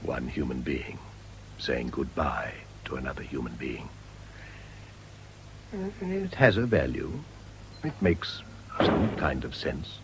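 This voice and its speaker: Very deep, weary condemned man. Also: sing-song, gravelly, background noise, full character voice. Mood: resigned sorrow. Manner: halting, sombre, reflective. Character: weary, resigned, reflective condemned prisoner. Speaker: radio drama actor. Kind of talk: radio drama acting.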